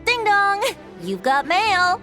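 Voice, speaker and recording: A woman's voice: very high-pitched, sing-song, slow, exaggerated voice. A woman playing a whimsical, playful fantasy game character with theatrical delivery. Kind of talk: video game voice acting. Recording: clear music behind.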